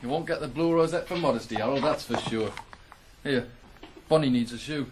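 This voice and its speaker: Teasing farm lad, medium-pitched played by a man. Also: fairly quick, natural voice. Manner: teasing, bantering. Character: cheeky, teasing farm workmate, male. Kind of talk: 1980s radio drama acting. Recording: faint noise on old radio broadcast.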